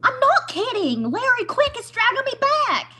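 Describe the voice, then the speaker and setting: A woman's voice: very high voice, big pitch swings, moderate pace, bright, forceful tone, heavily acted. A woman playing a nervous, easily spooked young wife in an old-time radio comedy, anxious and wryly pleading. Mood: frightened.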